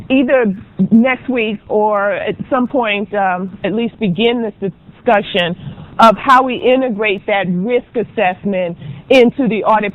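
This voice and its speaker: Loud chatty woman lecturing a class. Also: fairly high pitch. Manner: loud, chatty, brisk teacher with frequent ums. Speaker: auditing professor. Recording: dull lo-fi lecture recording.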